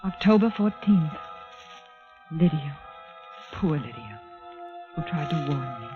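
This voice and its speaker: A woman's voice: sits low, expressive, slow. A determined, anxious young woman in an old-time radio drama. Her delivery is earnest, urgent and emphatic. The recording is muffled and dull, with some music behind. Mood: anxious, brooding. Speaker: lead actress.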